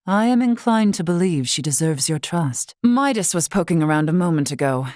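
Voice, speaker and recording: A woman voicing a solemn, dutiful warrior stateswoman in a video game, speaking in formal, measured, old-fashioned phrasing. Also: fairly low pitch, animated, a little mellow, no room sound, studio quality, natural voice. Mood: composed, courteous. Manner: formal, measured, archaic diction. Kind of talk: scripted video game character dialogue.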